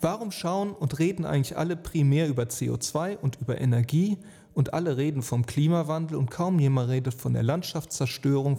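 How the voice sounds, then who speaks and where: A man narrating an earnest, reflective spoken essay, phrasing deliberately and emphatically with rhetorical weight. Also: medium pitch, vocal fry, dark tone. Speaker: speaker and author on climate and sustainability. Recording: long reverb, music underneath. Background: music.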